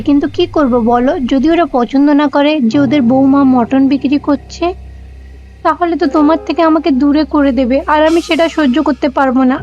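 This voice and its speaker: High-pitched, earnest young wife played by a woman. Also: a little mellow, close to an everyday voice. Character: devoted young daughter-in-law, secretly working, resolute. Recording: dull lo-fi sound with music.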